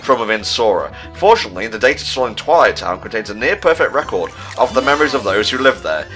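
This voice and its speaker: A brooding man of science , read by a man, sets down his secret report in formal, grave phrases. The voice is mid-pitched and bright, with game music behind it. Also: big pitch swings, fast, poor audio. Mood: grave, analytical.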